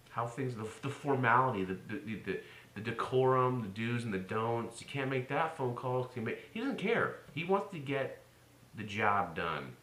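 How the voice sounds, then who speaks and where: A man's voice: medium pitch, fairly bright tone. An American man giving an informal political commentary, opinionated and sarcastic in his delivery. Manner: opinionated, sarcastic, swings into mocking exclamations. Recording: roomy echo with faint noise.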